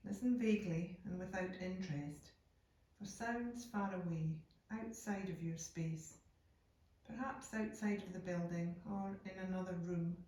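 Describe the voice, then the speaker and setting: A woman guiding a yoga nidra meditation in a calm, unhurried, soothing way, leaving deliberate pauses between phrases. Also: fairly low pitch. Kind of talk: slow guided meditation narration. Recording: echoey room, distant from microphone.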